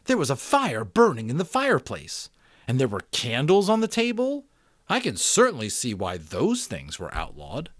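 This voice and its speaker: A man voicing an earnest, tentative young boy who speaks haltingly, searching for words, in a storybook reading. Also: mid-range voice, huge pitch swings, fairly bright tone, dry recording, studio quality, pitched above the performer's own voice.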